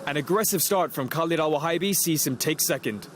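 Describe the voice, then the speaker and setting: A man's voice: fairly high pitch, background noise. A man narrating a motorsport series in a polished, scripted voice-over. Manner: measured, polished announcing. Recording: voice-over with loud music bed.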